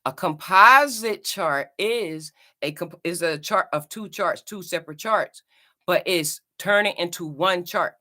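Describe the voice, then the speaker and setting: A woman's voice: fairly low pitch, unhurried, bright, forceful tone. A woman with a New Jersey accent talking to camera about astrology in a loose, chatty teaching style, repeating herself and adding "you know" asides. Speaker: astrology vlogger. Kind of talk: casual teaching talk to camera.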